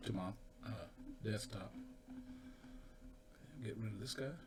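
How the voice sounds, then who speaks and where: Older man over clear background noise. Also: lowish voice, slow. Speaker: hobbyist demonstrating audio gear. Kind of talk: casual hands-on equipment demonstration. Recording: noisy home recording with equipment tones. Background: steady test tones at times.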